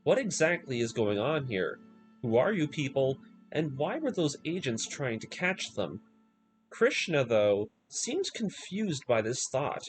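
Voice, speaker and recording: A man's voice: mid-range voice, low-quality recording. A man narrating a scripted video review, moving between commentary and story recap.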